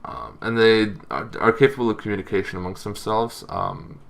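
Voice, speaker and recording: A man with a deep voice gives an informal explainer as the host of a gaming show. His tone is creaky and gravelly. He phrases things loosely and casually, pausing often as he goes. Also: big pitch swings. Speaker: host of a tabletop roleplaying lore show. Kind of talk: informal explainer on game monsters.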